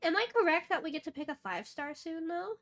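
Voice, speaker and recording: A woman's voice: extremely high pitch, lively intonation, a little fast, a bit bright, faint music behind. A woman streaming a game, talking casually and apologetically to her chat while congested and sniffly from a cold. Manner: chatty, apologetic, easygoing.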